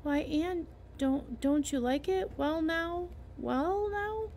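A woman voicing a shy, gentle elderly farmer who speaks softly and hesitantly. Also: high-pitched, takes it slowly, slight background noise, voice pushed up. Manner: soft, hesitant, stammering.

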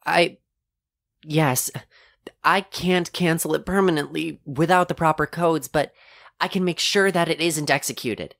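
A woman playing an earnest, hesitant sentient android in an audio drama, speaking in halting, precise, formal phrases. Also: sits low, vocal fry, a bit bright, no room sound, exaggerated voice.

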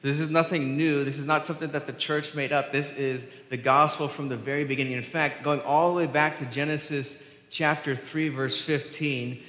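A man's voice: fairly high pitch, a bit bright. An American man preaching a conversational sermon as a pastor, informal and explanatory, driving points home with repetition. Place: large church sanctuary, at the pulpit. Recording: echoey church hall, long reverb.

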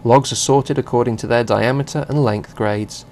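A man reading a scripted narration for a company video in an even, measured way. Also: mid-range voice, slight background noise.

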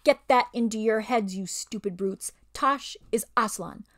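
A woman's voice: fairly high pitch, measured pace, fairly bright tone. A woman voicing a scheming, bullying male ape who snarls and lords it over the other animals in a storybook reading. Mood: snarling. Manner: snarling, domineering, sly.

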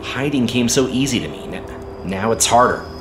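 An actor playing a wounded, defiant patriotic intelligence agent in an audio drama, speaking with deliberate intensity. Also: male voice, mid-range voice, animated, natural voice. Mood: brooding, earnest. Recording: clear background noise.

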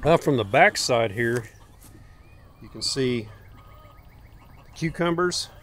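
Folksy man, slow unhurried garden tour. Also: medium pitch, animated, fairly bright tone. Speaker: homestead vlogger showing his garden. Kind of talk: casual, unscripted garden tour. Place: outdoors in a garden. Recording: outdoor recording with faint ambient noise.

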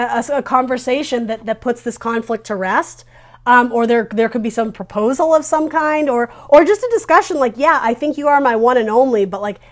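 A woman in her thirties giving a casual tarot reading, talking informally and reassuringly as she thinks aloud. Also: on the high side. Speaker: tarot reader hosting a video reading. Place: small decorated room indoors. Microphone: lavalier clipped to T-shirt.

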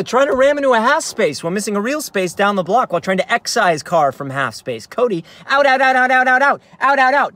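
A man's voice: on the high side, fairly bright tone, full character voice. A man in his thirties, an actor playing a frazzled, wry stay-at-home dad in a dramatic monologue recorded inside a car. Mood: frustrated. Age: 30s. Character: frazzled, self-deluding young stay-at-home dad, cursing and scheming. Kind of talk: dramatic staged reading, third-person monologue. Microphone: phone or camera at arm's length.

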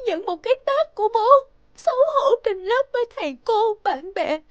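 A woman playing a worried, tearful mother of a teenage girl in an animated drama, anxious and pleading. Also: very high voice, normal speed, no room sound, highs cut off.

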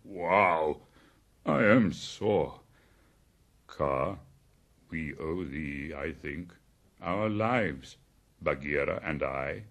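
A man's voice: a bass voice, lively intonation, drawn-out words, pauses often, a bit bright, voice pushed down, exaggerated voice. A man voicing a big, battered old male bear, tender-hearted and grave, effusive in archaic storybook speech. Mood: sore, grateful.

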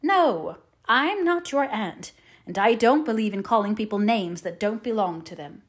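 A woman voicing a stern, practical older female guardian in an audiobook, brisk and matter-of-fact. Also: medium pitch, expressive, close to an everyday voice. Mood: grim, firm. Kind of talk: audiobook character voice.

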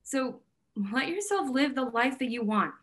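A woman's voice: sits high, lively intonation, a little slow. A young woman acting a smitten, blunt modern gallery owner in a staged reading, with a casual, gushing manner. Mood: warm, excited. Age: young adult. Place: indoor room at home, video call.

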